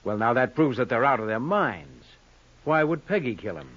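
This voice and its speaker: An actor playing a brusque, self-assured, cagey gambling boss with clipped, sardonic lines in an old-time radio crime drama. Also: male voice, mid-range voice, very animated, moderate pace, a bit bright, husky, highs cut off.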